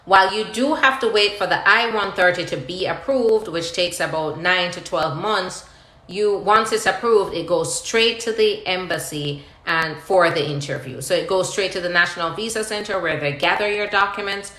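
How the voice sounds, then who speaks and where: A woman with a slightly low, bright and forceful voice, recorded in an echoey room. She answers questions as an attorney on a live stream, explaining things step by step in a conversational style. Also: normal speed. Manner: reads out viewer questions, then explains step by step. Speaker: immigration attorney hosting a live Q&A. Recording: roomy, echoing live-stream sound.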